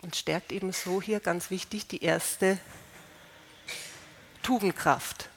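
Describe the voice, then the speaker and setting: A woman with a slight cold calmly explaining how to use healing stones, unhurried, with fillers and restarts. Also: lowish voice, slight background noise. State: slight cold, clearing throat. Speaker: gemstone healing presenter.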